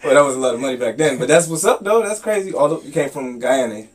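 A man's voice: medium pitch, lively intonation, fast talker, distant mic. Rambling young male podcast host. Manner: loose, with many fillers and stammered restarts. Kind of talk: casual interview chat.

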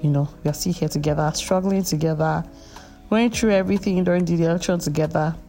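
A young woman with a Nigerian accent chats with her podcast listeners, low in pitch and mellow. She jokes at her own expense and pauses often with fillers, with faint music behind. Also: low-quality recording. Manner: chatty, self-mocking, hesitant with many fillers. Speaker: podcast host. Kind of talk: casual chat.

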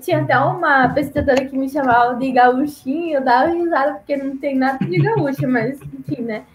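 High-voiced woman, chatty. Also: fairly quick, reverberant, a little hiss or hum. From Paraná, southern Brazil. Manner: chatty, laughs often, acts out quoted locals in a higher voice. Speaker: guest, former missionary. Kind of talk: casual conversational storytelling.

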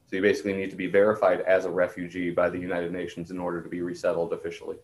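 Deep-voiced man explaining, echoey room. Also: monotone, very fast. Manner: clarifies and explains for others. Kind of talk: explanatory interview talk. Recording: roomy echo, faint background noise.

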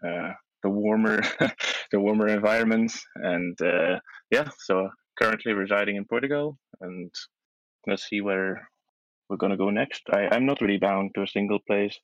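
A man's voice: fairly low pitch, moderate pace, no echo, muffled recording. A young adult man whose first language is Dutch, guest on a casual podcast, talking in a hesitant way with many restarts. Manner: hesitant, restarts often, soft laughs. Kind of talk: casual chat.

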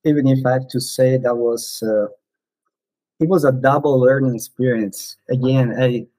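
A man in his forties, a non-native English speaker, casually reminiscing in an interview with frequent pauses and fillers. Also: medium pitch, steady tone, dark tone, no room sound, poor audio. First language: not English. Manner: hesitant, many fillers and restarts. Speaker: podcast guest, former missionary.

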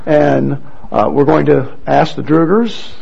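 Gravelly man leading a church service. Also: on the high side, slow pace. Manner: relaxed, with light asides. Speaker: worship leader introducing readers. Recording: muffled church recording.

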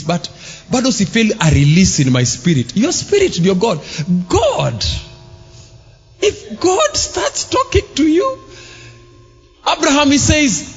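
A man preaches in a long-reverb hall, with soft music underneath. His voice sits high and swings widely in pitch. He talks slowly and shouts at the peaks. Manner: emphatic, shouting at peaks and dropping low for asides. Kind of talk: sermon.

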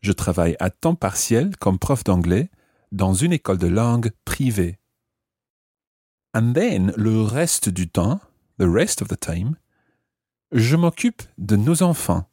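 Male language tutor: low, slightly rough voice, close dry sound, didactic, repeats key phrases. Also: big pitch swings, unhurried, mellow, dry recording. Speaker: language lesson presenter. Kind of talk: explaining French grammar phrase by phrase.

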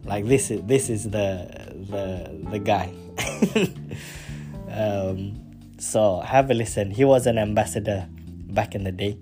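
A man from Malaysia hosting a podcast, talking in a relaxed, chatty way with lots of fillers. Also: lowish voice, takes it slowly. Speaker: podcast host. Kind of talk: casual spoken intro and interview. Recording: guitar music under the voice.

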